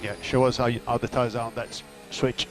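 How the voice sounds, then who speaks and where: A man speaks as a race engineer over team radio, in a medium-pitched voice. He gives short, matter-of-fact technical updates in clipped phrases. A clear layer of hum and mechanical noise sits behind him. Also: flat delivery, brisk.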